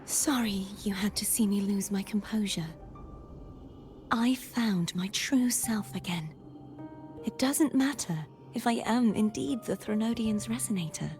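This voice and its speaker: A woman playing a solemn, resolute young female warrior in a video game, speaking in an earnest, measured, breathy way. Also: mid-range voice, darkish tone, faint music behind, close to an everyday voice. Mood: quietly resolved.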